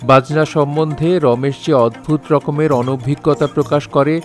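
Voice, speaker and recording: A man's voice: on the high side, fairly even intonation, moderate pace, soft background music. Male storyteller, formal literary narration. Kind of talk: scripted literary narration in an audio drama.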